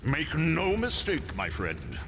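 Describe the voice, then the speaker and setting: An imperious game supervillain who commands and threatens. His formal, deliberate delivery is slow and swings widely in pitch. The recording has a noisy rumble behind it. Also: huge pitch swings, creaky, fairly bright tone, heavily acted. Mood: threatening. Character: imperious, menacing male supervillain mastermind in command. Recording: noisy game cutscene audio.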